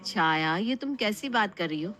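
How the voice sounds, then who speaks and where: A woman voicing a gentle, bewildered elder sister-in-law in a family story, questioning with affection. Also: medium pitch, animated, normal speed, faint music behind, close to an everyday voice. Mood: hurt, questioning. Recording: clear background noise.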